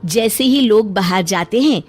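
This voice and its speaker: A woman tells a children's cartoon story as a steady narrator. She speaks slowly, and her pitch rises and falls a lot. Faint music plays under her voice.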